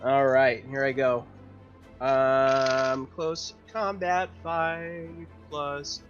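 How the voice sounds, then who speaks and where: A man playing a tabletop role-playing game, chatting casually and thinking out loud with some swearing. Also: mid-range voice, fairly even intonation, very slow, lo-fi sound, soft background music.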